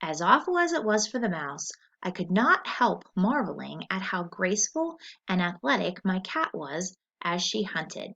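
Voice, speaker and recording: Expressive, unhurried woman teacher reading aloud. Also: lowish voice, creaky. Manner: instructional, expressive, deliberate. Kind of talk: scripted story read-aloud with comprehension questions. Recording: dull lo-fi recording.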